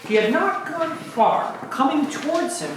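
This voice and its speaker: A woman's voice: high-pitched, big pitch swings, measured pace, background noise. A woman narrating a comic amateur stage reading from a script. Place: live amateur reading in a reverberant room. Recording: echoey room, distant, music behind.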